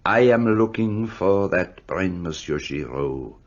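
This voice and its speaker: An actor playing a fussy, precise middle-aged detective in a radio play, speaking in a measured, formal way. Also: male voice, sits low, lively intonation, exaggerated voice. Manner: measured, formal, faintly foreign phrasing. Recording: dull muffled studio audio.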